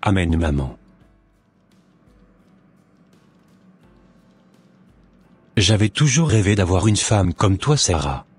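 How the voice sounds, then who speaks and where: A man playing a sincere, devout young suitor in an animated religious story, speaking in earnest, formal phrases. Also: sits low, normal speed, slightly rough, faint music behind, heavily acted. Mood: devoted.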